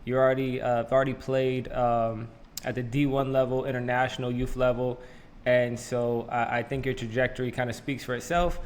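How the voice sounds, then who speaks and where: A man hosts a relaxed interview and asks friendly questions at an unhurried pace. He pauses and restarts now and then as he talks. His pitch is ordinary, set in the middle of the range. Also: a little hiss or hum. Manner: friendly, easygoing questions with hesitations. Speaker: interview host. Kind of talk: casual interview chat.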